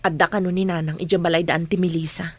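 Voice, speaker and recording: A woman's voice: lowish voice, flat delivery, quick pace, slightly rough. A woman playing a protective, burdened eldest sister in a radio drama, speaking in a guarded, serious way.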